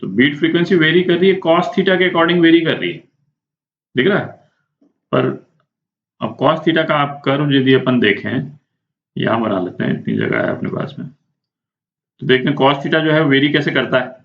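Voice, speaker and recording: A man's voice: a little high, moderate pace, pauses often. An Indian man teaches like a physics tutor working through a problem aloud. He explains informally, often checking in and asking himself questions. The sound is roomy and somewhat muffled. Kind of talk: spoken lesson working through a problem step by step. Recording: echoey room, dull muffled sound.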